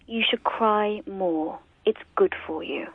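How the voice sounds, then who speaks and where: A gravelly, consoling former wife. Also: female voice, medium pitch, takes it slowly, no echo, heavily acted. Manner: soft, consoling. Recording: tinny phone-like sound.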